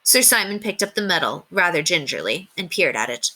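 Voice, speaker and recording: A woman reading a children's story aloud as an expressive storyteller. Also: lowish voice, brisk.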